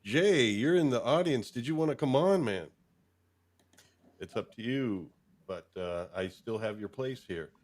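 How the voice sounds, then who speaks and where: A man's voice: medium pitch, expressive, measured pace, a little mellow. A man hosting a casual talk show, chatting in a rambling way as he thinks aloud. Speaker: host of a fan fiction show. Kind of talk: casual chat.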